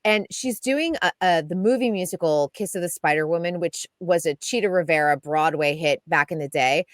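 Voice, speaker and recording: A woman giving a chatty, lively entertainment news segment on a radio show, laughing often. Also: on the low side, normal speed, no echo, clean studio sound. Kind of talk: casual radio chat.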